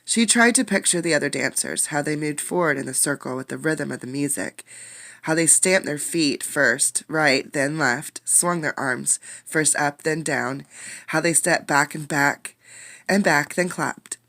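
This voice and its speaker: A woman reading a picture book aloud as a teacher, expressively, pausing to put questions to young listeners. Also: sits low, creaky, dry recording. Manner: expressive storytelling, addressing young listeners directly. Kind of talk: interactive children's read-aloud with questions.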